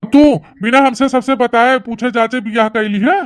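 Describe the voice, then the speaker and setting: A woman's voice: a little high, fairly even intonation, moderate pace, close to an everyday voice. A woman voicing a bossy, stubborn village man in a comic family cartoon, blustering and argumentative, with an Awadhi accent. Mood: indignant. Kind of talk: rustic comedy cartoon dialogue.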